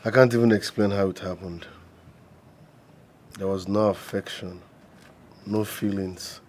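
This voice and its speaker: An actor playing a sheepish young man who explains himself in a calm, low, defensive way. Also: a little slow, a little hiss or hum, natural voice.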